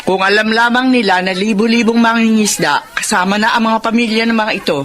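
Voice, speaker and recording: A woman's voice: on the high side, brisk, a bit bright, soft background music, close to an everyday voice. A woman with a Filipino accent acting a tender, sorrowful mature woman in a melodramatic radio play. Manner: emotional, earnest.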